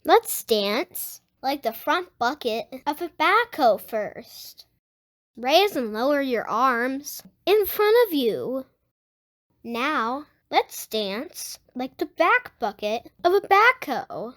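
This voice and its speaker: A child host reading a picture book aloud for young listeners, addressing them directly in an excited way. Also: sits high, slow pace. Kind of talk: storybook read-aloud with enthusiastic channel intro and sign-off.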